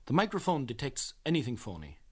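A man with an Irish accent presenting scripted documentary narration in a measured, earnest manner. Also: medium pitch, wildly swooping pitch, a little mellow, slightly rough.

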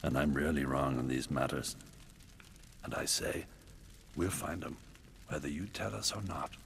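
A man's voice: rumbling low, unhurried, gravelly, a little hiss or hum, exaggerated voice. An actor playing a brutal, arrogant middle-aged warrior king, narrating his coarse, boastful thoughts in the first person for a radio drama. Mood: callous. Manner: coarse, boastful, cold inner monologue.

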